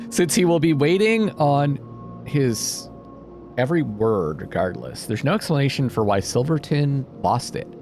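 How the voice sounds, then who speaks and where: Male gaming host, mid-pitched, very lively delivery, thinks aloud and mutters, casual, game music underneath. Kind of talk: casual let's-play commentary with reading aloud. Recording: music behind the voice.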